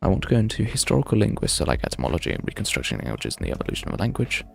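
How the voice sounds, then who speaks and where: Low gravelly man, rambling chat. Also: huge pitch swings, rapid-fire, soft background music. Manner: rambling, thinks aloud, chatty tangents. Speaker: game streamer. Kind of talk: casual livestream chat while playing.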